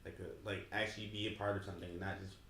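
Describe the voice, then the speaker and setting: A laid-back man with a lowish voice, chatting and teasing on a podcast. His delivery is dry and unhurried. The room is echoey, with a faint hiss underneath. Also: level tone, fairly bright tone. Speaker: podcast co-host. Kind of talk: casual podcast chat.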